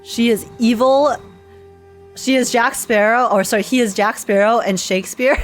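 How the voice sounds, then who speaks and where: A woman with a high voice reacts to a game as a streamer. She is chatty, informal and giggly, with game music playing behind her. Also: normal speed. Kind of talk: casual live reaction commentary.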